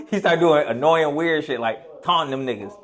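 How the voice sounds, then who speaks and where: A young man hosting a casual podcast, telling animated stories full of slang and profanity. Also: fairly high pitch, moderate pace. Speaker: podcast host.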